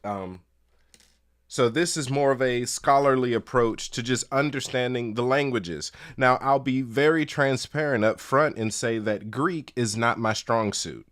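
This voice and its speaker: A man giving a solo scholarly talk, explaining things step by step in a measured way. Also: medium pitch, a bit bright. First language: English. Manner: measured, explanatory, spells out letters. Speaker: teacher.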